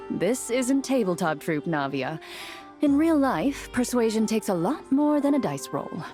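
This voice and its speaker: A woman's voice: a little high, sing-song, measured pace, darkish tone, faint music behind. A woman playing a sensible young woman in a video game, exasperated and chiding.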